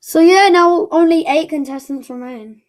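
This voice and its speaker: A woman's voice: high-pitched, moderate pace. A woman casually announcing results as the host of an online show, chatty and thinking aloud as she goes.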